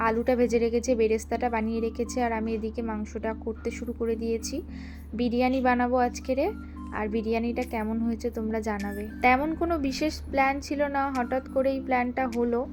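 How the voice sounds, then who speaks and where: A woman's voice: on the high side, steady tone, fast talker. A woman narrating her everyday life in a casual vlog style, with music behind her. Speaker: vlogger narrating her day. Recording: music under the voice. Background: background music.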